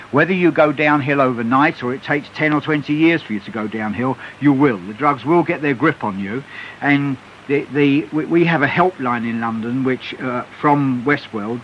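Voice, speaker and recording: Middle-aged man, earnest and emphatic interview guest, candid testimony, dull radio sound, faint hiss. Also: medium pitch. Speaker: interview guest, author and recovered addict. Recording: muffled hissy shortwave-style radio broadcast.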